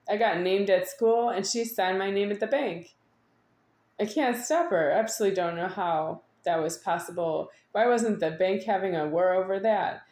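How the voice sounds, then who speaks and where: A woman giving a rambling, unscripted monologue to an audience, halting at times with drawn-out words and insistent repetition. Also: mid-range voice, darkish tone. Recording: echoey room.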